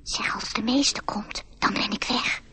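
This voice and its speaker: A woman voicing a secretive little mouse in a radio drama, with a breathy, whispery voice. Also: a little high, measured pace, pushed and bright, exaggerated voice. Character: secretive little female mouse, matter-of-fact.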